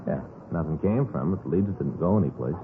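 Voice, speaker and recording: An actor playing a low-key, practical American police detective in a radio crime drama, casual and plain-spoken. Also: male voice, animated, a little fast. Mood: thoughtful. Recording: tinny, noisy vintage radio recording.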